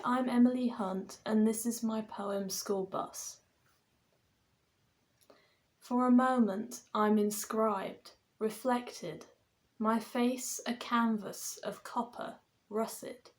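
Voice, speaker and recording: A woman reciting her own poem deliberately, pausing between short phrases. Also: a little high, fairly even intonation. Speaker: poet reading own poem. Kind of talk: poetry recitation.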